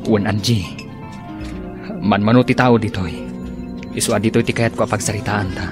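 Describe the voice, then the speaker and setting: A man playing an apologetic, courting young gentleman with an Ilocano accent in a radio drama. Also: lowish voice, fairly even intonation, normal speed, music in the background, natural voice. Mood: apologetic, wooing.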